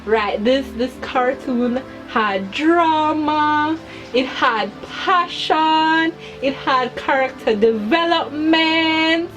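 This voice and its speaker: Casting: young woman channel host, fairly high voice, hyper and giggly, repeats words for emphasis, music underneath. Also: extremely slow, fairly bright tone, rough recording. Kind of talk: chatty personal commentary to viewers.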